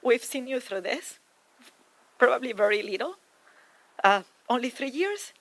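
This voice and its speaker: A woman giving a memorial tribute to a neighbor and friend, reminiscing fondly with pauses. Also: on the high side, lively intonation, dry recording, poor audio.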